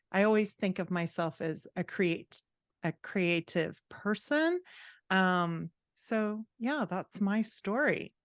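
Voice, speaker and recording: A woman's voice: mid-range voice, clear, resonant tone, dry recording, clean studio sound. A woman speaking as an interview guest, an author and writing coach who explains things in a conversational way.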